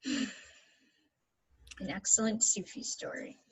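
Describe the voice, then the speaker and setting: A woman co-host with a husky, medium-pitched voice. She talks in a casual, conversational way. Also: fairly even intonation, drawn-out words, poor audio. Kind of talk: casual chat.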